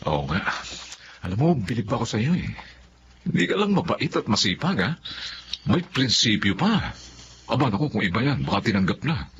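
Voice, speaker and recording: A chummy Filipino workmate in a radio drama, slangy and teasing, with swooping, animated pitch. The audio is a little dull, with faint noise. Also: male voice, normal speed, pauses often. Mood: admiring. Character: chummy, teasing, admiring male motel co-worker.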